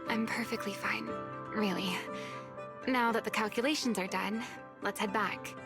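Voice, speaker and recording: An actress playing a polite, hesitant young woman in a video game, soft-spoken and reticent. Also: mid-range voice, steady tone, moderate pace, over music, close to an everyday voice. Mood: evasive, subdued.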